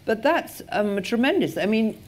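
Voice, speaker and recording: A woman chairing a festival panel discussion, talking in a halting, wry way with many pauses and restarts. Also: on the high side, sing-song. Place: festival stage.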